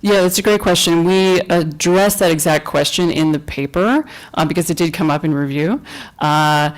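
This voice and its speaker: Woman presenter in a lecture room, lots of ums, thinking out loud. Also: lowish voice, normal speed, rough recording. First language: English. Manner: hesitant, thoughtful, many fillers. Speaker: conference presenter. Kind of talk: academic talk and Q&A.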